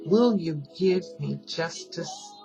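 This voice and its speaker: A woman giving a solemn recitation of a protest poem, deliberate and pleading, pausing between short phrases. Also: fairly low pitch, expressive, gravelly. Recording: music behind the voice. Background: music.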